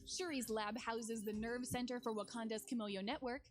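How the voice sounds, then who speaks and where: A woman's voice: a little high, steady tone, normal speed, a little hiss or hum. A woman giving scripted promotional narration as a game developer presenting new features. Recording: music under the voice. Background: music.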